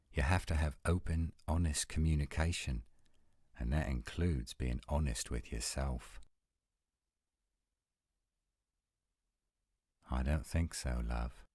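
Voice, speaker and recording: A man playing an adult male werewolf lover in a romantic roleplay audio, speaking in an intimate, measured, coaxing and reassuring way. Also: a bass voice, a little mellow, dry recording, studio quality. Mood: frank, intimate. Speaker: voice actor.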